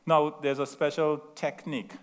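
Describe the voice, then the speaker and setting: Man with a Trinidadian accent, middle-aged preacher, sermon with asides, slightly high voice, playful. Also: little pitch movement, moderate pace, clean tone. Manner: chatty, laughing at himself, asks the room "right?".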